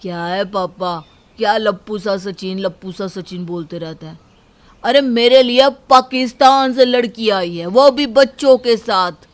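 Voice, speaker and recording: A whiny, naive, boastful young husband from an animated story, voiced by a woman. He speaks slowly in a middling pitch for a female voice, petulant and protective of his bride. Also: close to an everyday voice. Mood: petulant boasting.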